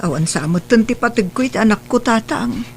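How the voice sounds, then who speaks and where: A man's voice: sits high, expressive, brisk, gravelly. A man voicing a tired, subdued grown son and father in a family drama, with faint hum behind. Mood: weary.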